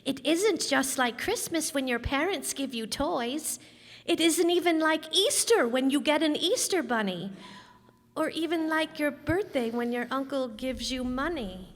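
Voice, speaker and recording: A woman acting as a nine-year-old schoolgirl with a high, lively voice. She is earnest and dutiful, speaking in a singsong old radio sitcom style. The large hall adds long reverb. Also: unhurried. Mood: earnest reciting. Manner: singsong, literal-minded reciting. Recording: echoey hall, live stage performance.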